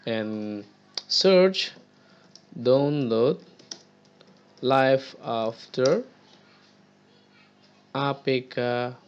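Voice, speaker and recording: A young man from Indonesia, not a native English speaker, talking through a computer tutorial on a headset mic in a halting way, with many fillers and repeated words. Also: medium pitch, muffled recording. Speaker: tutorial presenter. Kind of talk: screen-recorded gaming tutorial.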